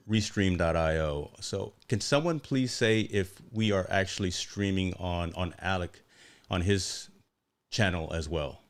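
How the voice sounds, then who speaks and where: A man's voice: low voice, clear, resonant tone. A male host running a casual livestream interview over a video call, upbeat, repeating words and tagging on "right?".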